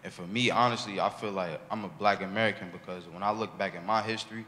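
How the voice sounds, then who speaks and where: A man's voice: fairly low pitch, fairly even intonation, quick pace, pushed and bright. A young man speaking thoughtfully in a group discussion. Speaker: discussion participant. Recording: large hall reverb, faint noise.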